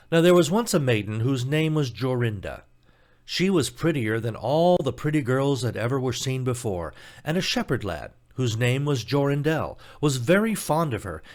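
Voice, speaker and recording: A man reading a scripted fairy tale as a storybook narrator, telling it expressively. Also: medium pitch.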